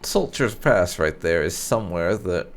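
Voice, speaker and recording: A man's voice: low-pitched, expressive, a little slow. A man giving casual, conversational gameplay commentary as a video host, with some light roleplay.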